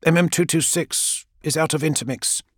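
A man's voice: a little high, fairly even intonation, normal speed, husky, no echo, studio quality, voice pushed up. A man narrating an audiobook voices a young woman guiding a wagon crew, pleading and earnest in a raised voice.

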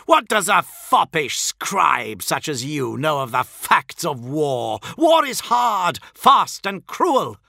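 Man voicing an imperious, volatile superhuman warlord, animated. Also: a little high, moderate pace, no room sound, clean studio sound, pitched above the performer's own voice. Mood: furious. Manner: haughty, forceful, commanding delivery. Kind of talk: dramatic audiobook character voice.

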